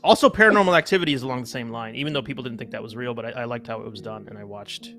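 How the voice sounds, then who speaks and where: A man's voice: mid-range voice, racing, pushed and bright, soft background music. A young man chatting eagerly with friends about movies. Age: young adult. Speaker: cast member. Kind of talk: casual chat.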